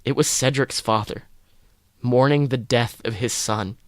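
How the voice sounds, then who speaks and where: A man narrating a first-person story in a dramatic, expressive way. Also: medium pitch, a little slow, dry recording. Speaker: podcast host reading fan fiction.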